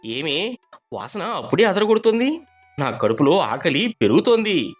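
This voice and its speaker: A man voicing a poor, humble village laborer in an animated story, earnest and expressive. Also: high-pitched, normal speed, breathy edge, soft background music, full character voice. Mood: surprised. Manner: expressive, pleading. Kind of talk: cartoon storybook voice acting.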